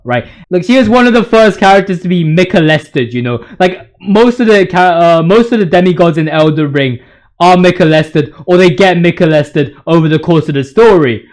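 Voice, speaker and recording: A young adult man giving informal video game commentary in a slangy, excitable, rambling and hyperbolic way. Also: high-pitched.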